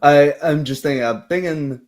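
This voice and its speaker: A man speaking as game master, casually setting up a tabletop roleplay session in a rambling, halting way that often corrects itself. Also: fairly high pitch.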